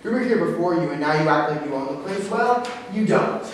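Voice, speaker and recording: A man's voice: on the high side, expressive, fairly quick, natural voice. A man reading the part of an indignant, accusing bar patron in a staged play. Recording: echoey room, far mic, faint noise.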